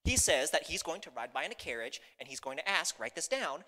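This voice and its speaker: A cunning storybook cat, acted out by a man, flatters and persuades with theatrical patter. The voice is bright and swoops about on the high side, ringing in a reverberant hall. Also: brisk. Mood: urgent, conspiratorial.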